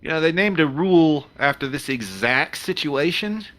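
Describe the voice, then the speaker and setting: A man playing a jittery, sarcastic, easily awed spaceship officer in a comedic sci-fi play, with animated, wry delivery. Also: a little high, unhurried.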